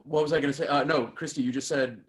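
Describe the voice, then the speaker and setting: Guy with a slightly high voice, casual chat, kinda halting, hunting for words. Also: steady tone, slight background noise. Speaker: table read participant.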